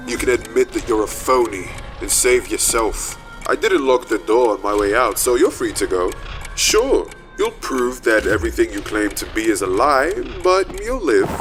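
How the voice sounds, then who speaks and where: A man playing a taunting, sadistic villain in a comic dub, theatrical, mocking and deliberate. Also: fairly low pitch. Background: background music.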